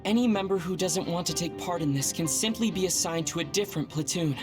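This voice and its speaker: Woman playing young female soldier, composed, polite, quietly determined, video game drama, clear music behind. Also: lowish voice, brisk, close to an everyday voice. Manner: calm, reasoned, polite. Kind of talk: English-dubbed video game cutscene acting.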